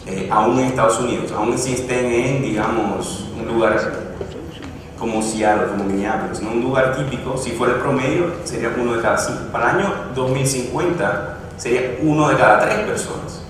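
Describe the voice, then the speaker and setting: A man's voice: mid-range voice, normal speed. A man giving a conference presentation, explaining at length with frequent hesitations and restarts. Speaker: conference speaker. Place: large conference room. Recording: echoey hall, distant mic, background noise.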